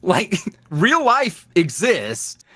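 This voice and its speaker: A man's voice: sits high, huge pitch swings, drawn-out words, pushed and bright, no room sound. A man being interviewed as a guest, chatting casually and telling rambling stories with frequent laughs. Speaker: ASMR roleplay creator, podcast guest.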